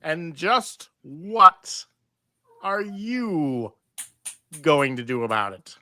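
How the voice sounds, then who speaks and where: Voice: medium pitch, big pitch swings, very slow, bright, forceful tone, no room sound, full character voice. A performer voicing a scheming, nasty old male magician in a hammy, taunting cartoon table read. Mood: menacing.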